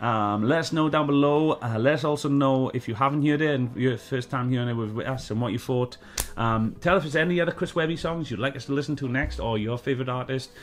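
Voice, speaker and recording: A man's voice: mid-range voice, quick pace, hardly any pauses. A middle-aged man with a Welsh accent, hosting a casual review chat from his living room sofa, rambling and repeating himself with lots of fillers. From Wales. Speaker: host.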